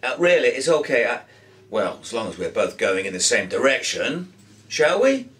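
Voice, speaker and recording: A man's voice: mid-range voice, big pitch swings, normal speed, fairly bright tone, far from the mic. A man voicing a shy, nervous, polite teenage girl in a storybook, with a hesitant and flustered manner. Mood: nervous, hurried. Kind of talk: audiobook character voice.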